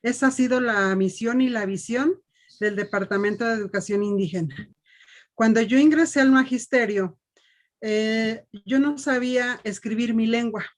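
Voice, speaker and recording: Woman, teacher, from Mexico, earnest storytelling, panel talk, highish voice. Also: normal speed. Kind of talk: panel discussion testimony.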